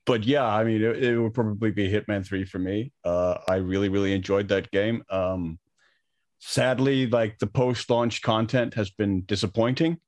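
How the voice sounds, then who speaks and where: Hesitant, lowish-voiced man in casual discussion. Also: steady tone, darkish tone, no echo. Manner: hesitant, halting, repeats words while thinking. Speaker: podcast co-host. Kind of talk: casual unscripted gaming discussion.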